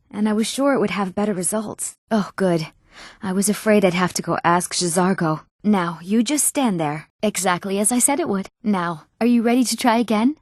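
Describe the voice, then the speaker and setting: A woman's voice: mid-range voice, a little mellow, no echo, lightly acted. Actress as an earnest young fantasy woman, close dry sound. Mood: anxious, apologetic, then earnest, eager. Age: young adult. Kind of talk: video game voice acting.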